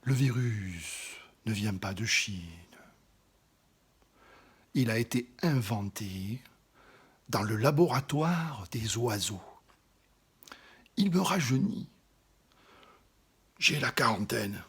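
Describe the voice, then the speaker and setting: A man giving a slow, dramatic poetry recitation, often dropping into an intimate whisper and swelling louder on some phrases. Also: lowish voice, breathy edge.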